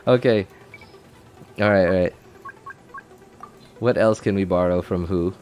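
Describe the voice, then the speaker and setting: A man giving casual, chatty live gameplay commentary as a streamer, thinking out loud and reacting as he goes. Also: deep voice, a little slow, slight background noise. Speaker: game streamer.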